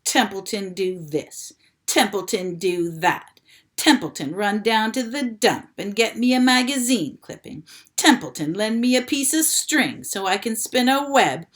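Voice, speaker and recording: Woman doing a sulky male rat: slow, sarcastic, grumbling, storybook. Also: fairly low pitch, animated. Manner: drawling, sarcastic, mocking. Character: grumpy, sarcastic male rat, lazy and self-pitying.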